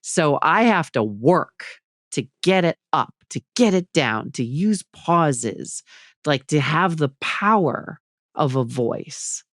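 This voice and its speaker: A middle-aged woman giving advice as a podcast guest, with a low, fairly even voice and a measured, deliberate way of talking. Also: no room sound, clean studio sound. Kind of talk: conversational advice in an interview.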